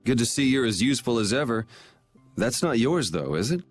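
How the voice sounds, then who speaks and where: A man's voice: medium pitch, big pitch swings, a little fast, faint music behind, natural voice. An actor playing a cool, irreverent young swordsman with a casual, sardonic manner in a video game. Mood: wry.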